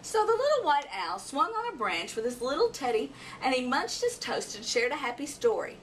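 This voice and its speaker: Animated woman reading a children's story. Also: high-pitched, normal speed. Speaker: storybook reader. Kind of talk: expressive children's story narration. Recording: distant mic, faint background noise.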